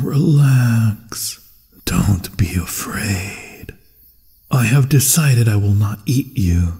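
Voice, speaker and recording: A man's voice: lowish voice, warm tone, slightly rough, full character voice. A man voicing a hungry, possessive werewolf in an ASMR fantasy roleplay, savoring his words seductively and often slipping into whispers. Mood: possessive, predatory. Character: hungry, possessive male werewolf, menacing yet infatuated. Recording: long cavernous reverb.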